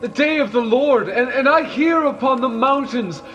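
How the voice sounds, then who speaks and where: A man's voice: extremely high pitch, moderate pace, fairly bright tone, highs cut off, slight background noise. A man playing a wild, fervent holy prophet in an audio drama, declaiming solemnly in archaic language. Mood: foreboding. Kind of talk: classic stage play performed as audio drama.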